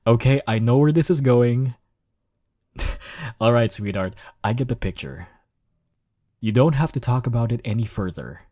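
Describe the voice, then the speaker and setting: A man's voice: mid-range voice, animated, normal speed, long pauses, dark tone, no room sound, clean studio sound, close to an everyday voice. A man playing a cocky, teasing young man flustered by his crush, in an intimate romantic audio roleplay. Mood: embarrassed, defensive. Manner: teasing, chuckling, sighing, stammering when flustered.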